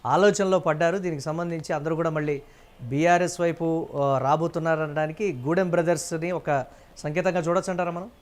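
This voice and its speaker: A man's voice: a little high, steady tone, a little fast. A man presenting live news and putting questions as an anchor.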